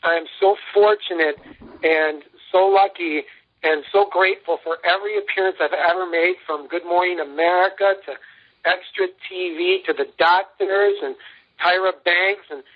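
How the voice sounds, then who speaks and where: A man giving an emphatic, boastful sales pitch as a guest in a radio interview, telling anecdotes as he goes. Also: fairly even intonation, moderate pace. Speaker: interview guest, company founder and CEO. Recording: muffled lo-fi phone-like radio line.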